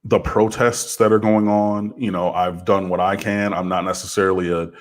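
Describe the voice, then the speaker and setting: A man with a big, fairly low voice speaks on a podcast in casual talk. He is earnest and builds to a forceful, impassioned delivery. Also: level tone, moderate pace.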